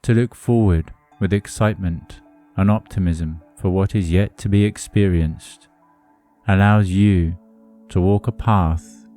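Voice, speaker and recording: A man narrating a hypnotic guided meditation in a soothing, deliberate manner with measured phrasing. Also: sits low, steady tone, dark tone. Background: music under the voice.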